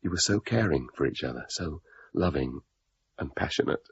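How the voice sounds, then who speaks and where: A tormented middle-aged British father in a radio drama. His voice is extremely low, gravelly and very rough, halting and wistful, on a slightly dull recording. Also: animated, full character voice. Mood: wistful, confessional. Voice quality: gravelly, husky. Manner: halting, brooding, intense.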